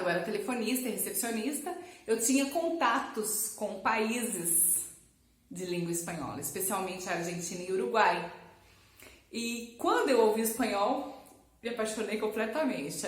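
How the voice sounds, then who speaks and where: A Brazilian woman telling a personal story casually, in a chatty, expressive way, with a Brazilian Portuguese accent. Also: on the high side. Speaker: language teacher and vlogger. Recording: echoey, roomy sound.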